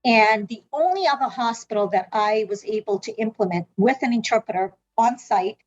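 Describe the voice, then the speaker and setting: A woman's voice: on the high side, moderate pace, faint music behind. Earnest middle-aged woman, muffled recording. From South Africa. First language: Portuguese. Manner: earnest, with frequent fillers and restarts. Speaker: interviewee, medical interpreting leader. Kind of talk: reflective personal storytelling.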